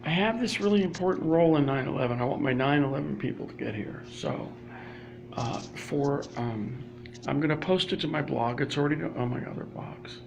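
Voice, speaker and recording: Gravelly guy rambling on a live stream in a library, phone mic, noisy. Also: on the high side, fairly quick, dull audio. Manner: rambling, restarts, trails off into asides. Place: public library indoors. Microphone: phone or laptop camera.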